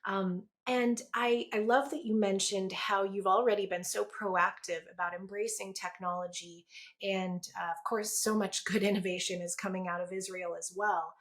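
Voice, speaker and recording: A woman's voice: mid-range voice, steady tone, normal speed. A woman hosting an interview show, upbeat and enthusiastic, opening with a scripted intro and then asking questions. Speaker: podcast host.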